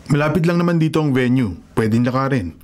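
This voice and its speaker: A man acts a devout Filipino carpenter and grandfather in a radio drama. He is kindly and hospitable, speaking earnestly in a medium-pitched voice. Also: animated, fast talker, a little mellow, lightly acted. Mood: warm, hospitable.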